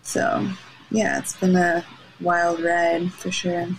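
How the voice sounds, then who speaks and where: Chatty woman in her thirties, lowish voice. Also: level tone, slow pace, gravelly, a little hiss or hum, poor audio. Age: 30s. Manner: laughing often, lots of fillers. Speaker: podcast guest. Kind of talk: casual chat.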